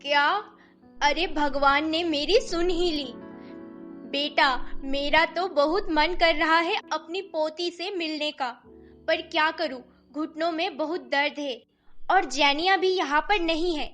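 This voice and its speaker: A woman's voice: sits high, steady tone, a little slow, a bit bright, faint music behind, pitched above the performer's own voice. A woman playing an aging Indian mother-in-law in a family story, plaintive, homely and doting.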